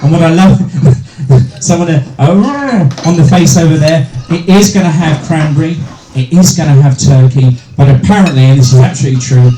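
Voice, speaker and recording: A middle-aged male stand-up comedian with a deep voice hosting a live comedy night, bantering with hecklers and swearing freely. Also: moderate pace, few pauses, slight background noise. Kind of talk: stand-up comedy with crowd work. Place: small live venue with a crowd. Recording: echoey room with loud crowd.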